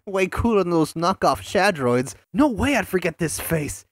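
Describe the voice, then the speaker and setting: A woman voicing an upbeat, excitable young male hedgehog hero in a fan audio drama, with a husky voice. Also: fairly low pitch, measured pace, no echo, clean studio sound. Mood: eager. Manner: excitable, bouncy, eager.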